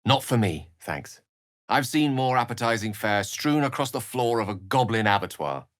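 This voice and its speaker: An actor playing an eloquent, theatrical wizard in a fantasy video game, learned, courtly and wry, with articulate, bookish phrasing. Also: male voice, medium pitch, animated, moderate pace, a bit bright, dry recording, studio quality, close to an everyday voice.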